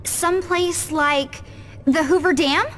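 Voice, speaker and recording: A woman's voice: sits high, fairly even intonation, slow, fairly bright tone, slight background noise. A woman voicing a smart, earnest ten-year-old know-it-all girl in a cartoon video game, matter-of-fact in manner. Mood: insistent.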